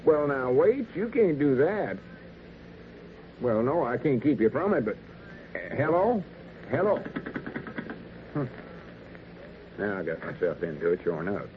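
A man's voice: medium pitch, very animated, measured pace, pauses often. A man playing a scheming, self-important rustic storekeeper turned lawyer, with an Arkansas hillbilly accent and folksy, blustering talk in 1940s radio comedy style. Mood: flustered. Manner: folksy, blustering, backwoods grammar. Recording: noisy, narrow-band 1940s radio recording.

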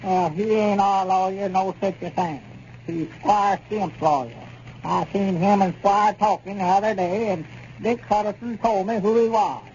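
On a muffled, faintly hissy radio comedy recording, a peevish old country fellow with a rural Southern accent. The voice is high and the manner blunt. He is contrary and folksy. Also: steady tone, measured pace, darkish tone. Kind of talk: 1930s radio comedy acting.

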